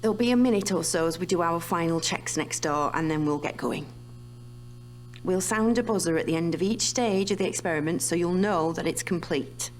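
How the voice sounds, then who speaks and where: Woman playing brit scientist lady running an experiment, businesslike, kinda low voice, radio play. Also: a little fast, slight background noise, lightly acted. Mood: briskly instructive. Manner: matter-of-fact, clinical. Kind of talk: audio drama dialogue.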